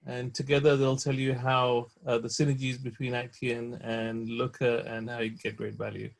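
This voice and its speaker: A man's voice: medium pitch, flat delivery, moderate pace, vocal fry. A man hosting a webinar, introducing the speaker hesitantly with many fillers. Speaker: webinar host.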